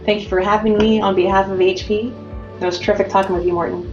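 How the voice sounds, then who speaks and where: A woman's voice: medium pitch, level tone, fast. A woman guest in a remote business interview, talking in an upbeat, promotional way with frequent fillers. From United States. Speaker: industry guest from a printing company. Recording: roomy, dull audio with faint music.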